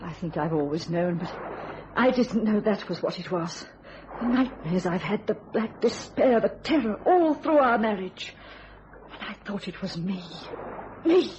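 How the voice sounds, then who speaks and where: A woman's voice: mid-range voice, expressive, measured pace, a little mellow, muffled recording, close to an everyday voice. An actress playing a haunted, psychic wife in a radio thriller, intense and trance-like in her delivery. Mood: anguished accusation. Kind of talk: old-time radio thriller acting. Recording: noisy old radio drama recording.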